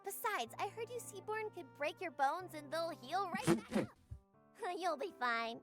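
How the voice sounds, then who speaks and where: A woman puts on a winged courier girl for a visual novel. The voice is high and girlish, with a cheeky, confident, casual delivery. Soft music sits underneath. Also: lively intonation, measured pace. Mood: teasing.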